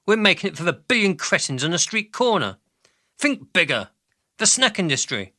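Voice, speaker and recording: A man's voice: sits high, sing-song, moderate pace, bright, forceful tone, dry recording, studio quality, heavily acted. A man playing a glib, greedy, callous company boss in a radio drama, pompous and flippant in manner. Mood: brash, dismissive.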